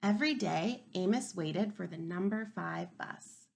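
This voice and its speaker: A woman teaching kindergarten through a read-aloud, thinking aloud and posing simple questions to children in an expressive, emphatic way. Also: on the low side, unhurried, gravelly. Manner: expressive, emphatic storybook delivery.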